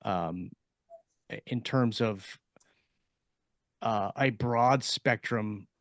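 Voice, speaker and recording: Man chatting haltingly, close dry sound. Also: lowish voice, no room sound. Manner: halting, repeats word starts. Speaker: podcast co-host and writer. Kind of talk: casual panel discussion.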